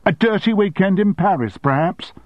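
A man voicing an indignant, sharp-tongued longtime woman secretary with cutting, sarcastic retorts, on a dry, slightly dull recording. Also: mid-range voice, animated, normal speed, slightly rough, dry recording, pitched above the performer's own voice.

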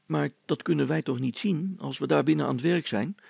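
Man playing a worried, gravelly-voiced helper. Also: a little high, a little fast, a little mellow, no echo, exaggerated voice. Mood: worried, doubtful. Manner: anxious, doubtful, plain-spoken. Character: worried, doubting male helper, Hannes.

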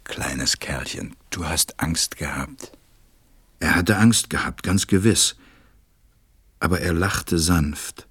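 Radio play lead: tender, troubled-hearted man, low breathy husk, slow deliberate delivery. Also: a bit bright, full character voice. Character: pensive, tender adult male narrator befriending a small boy. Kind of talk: dramatised literary narration, German radio play.

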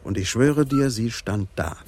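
An actor playing a sceptical, dry-witted police detective, matter-of-fact, in a radio crime play. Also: male voice, medium pitch, animated, measured pace, a little mellow, slight background noise, lightly acted. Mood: reporting.

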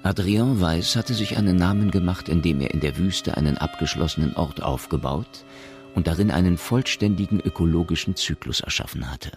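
A man narrating a science fiction radio play as a sober, measured storyteller with a gravelly voice. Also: low voice, a little mellow. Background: music.

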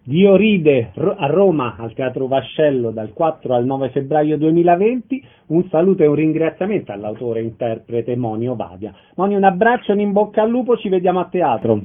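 A man's voice: fairly high pitch, expressive, brisk, hardly any pauses. A man hosting a radio show, announcing guests and show dates in a formal presenter tone. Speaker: radio host. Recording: tinny radio broadcast with faint noise.

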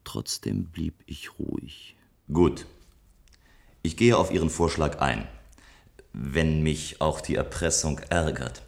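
A very low male voice carries this science fiction radio play. a composed, self-assured inventor of games from a future society. His delivery is articulate, measured and reflective. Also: gravelly, exaggerated voice. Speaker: narrator and lead character in a radio play. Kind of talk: scripted German radio drama, first-person narration.

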